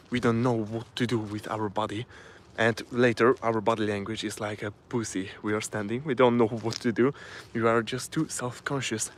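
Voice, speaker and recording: A man with a Polish accent, a native Polish speaker, giving a casual live-stream talk from his phone, often pausing and restarting as he searches for words. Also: medium pitch, a little hiss or hum. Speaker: self-improvement vlogger.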